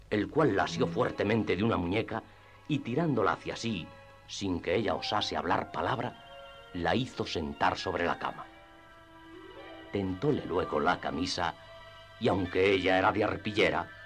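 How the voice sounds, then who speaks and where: A man narrating classic literature in radio theatre style, with expressive storytelling and deliberate phrasing. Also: low-pitched. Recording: music under the voice. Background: background music.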